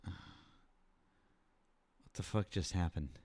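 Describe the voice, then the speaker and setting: A man's voice: deep voice, slow pace, a little mellow, dry recording. A man hosting a live political talk stream, taking callers and speaking casually with lots of fillers and blunt swearing. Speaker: political talk show host.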